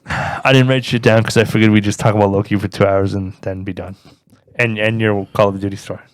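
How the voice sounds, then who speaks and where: Low-voiced man teasing in podcast banter. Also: fairly even intonation, a little fast, dry recording. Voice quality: teasing, agreeable backchannel. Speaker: podcast co-host. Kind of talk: casual banter.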